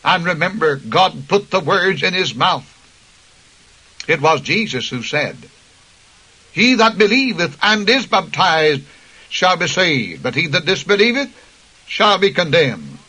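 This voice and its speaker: A man's voice: high voice, sing-song, unhurried, pushed and bright, slightly rough, poor audio. A man preaching a radio sermon with impassioned, emphatic delivery, citing scripture chapter and verse.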